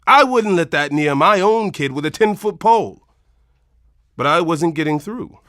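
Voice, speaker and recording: A preachy husband on a crusade, insistent and emphatic. His pitch swings widely as he presses his point like a zealot who will not let go. It is radio-play acting. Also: expressive, moderate pace, fairly bright tone, no echo. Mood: earnest, pushy. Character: zealous, meddling husband lecturing shoppers about toxins. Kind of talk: audio drama acting.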